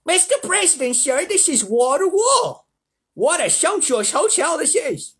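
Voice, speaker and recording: High-pitched, gushing hotel manager. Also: male voice, very animated, measured pace, fairly bright tone, heavily acted. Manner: strained, high, fawning.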